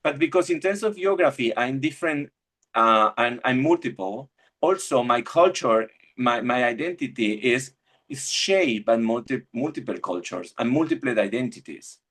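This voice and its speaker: A middle-aged man speaks over a video call, presenting a webinar talk on church life. His delivery is reflective and searching, with frequent restarts as he finds his words. His voice sits at a medium pitch. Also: animated, normal speed, a bit bright. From Argentina.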